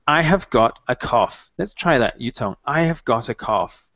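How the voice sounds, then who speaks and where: A man's voice: mid-range voice, expressive, fairly bright tone. A man in his thirties teaching an online English mini-lesson, patiently modeling phrases over a headset on a video call. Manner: clear, patient, encouraging, models phrases slowly. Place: small room at home, video call. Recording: dull, dry video-call audio.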